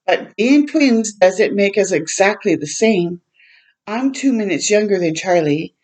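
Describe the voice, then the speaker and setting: A woman hosting a storytime for children, reading a picture book aloud in a gentle way and pausing to chat and explain simply. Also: mid-range voice, animated. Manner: gentle, simple explaining to young listeners, with pauses to comment.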